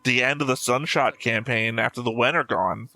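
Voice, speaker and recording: A man's voice: mid-range voice, normal speed, pushed and bright, dry recording, faint music behind. A man co-hosting a reaction show with casual, blunt, opinionated commentary and free swearing.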